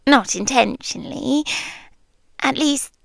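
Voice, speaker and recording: A woman with a British accent voicing an ancient, lonely monster girl who is tenderly obsessed, in breathy, wistful, hushed ASMR roleplay. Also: high voice, dry recording, heavily acted. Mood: fond, obsessive. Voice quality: breathy, often dropping into whisper. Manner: hushed, deliberate, wistful storytelling with pauses. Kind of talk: slow intimate ASMR roleplay. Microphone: close, dry microphone.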